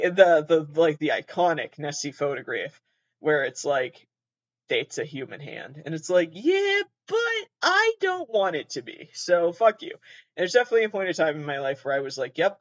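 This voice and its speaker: A man's voice: fairly high pitch, dry recording, muffled recording. A man hosting a podcast in a very nasal voice, rambling casually, swearing freely and laughing at himself. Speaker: podcast host.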